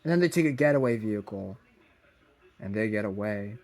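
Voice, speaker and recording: A man narrating a fan-made plot idea in a halting way, in short broken phrases. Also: fairly low pitch, lively intonation, darkish tone.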